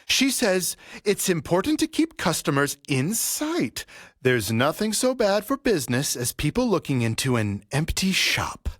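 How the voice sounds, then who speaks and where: An actor playing a vengeful graying barber in an audio play, speaking with servile politeness and cool menace. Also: male voice, a little high, huge pitch swings, measured pace, fairly bright tone, dry recording, studio quality, heavily acted. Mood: mocking.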